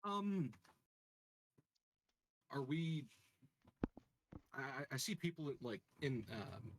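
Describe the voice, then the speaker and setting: A man playing an online tabletop game, chatting casually in a halting, questioning way. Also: mid-range voice, very animated, low-quality recording.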